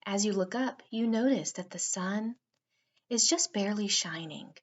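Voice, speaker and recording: A woman narrating a guided meditation for children in a soothing, unhurried way with drawn-out phrasing. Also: mid-range voice, highs cut off.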